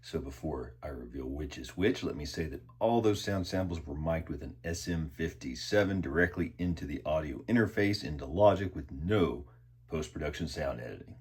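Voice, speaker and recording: A man talking casually and in a measured, conversational way as he hosts a guitar gear review. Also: very deep voice, lively intonation, breathy edge. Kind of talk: casual product review talk.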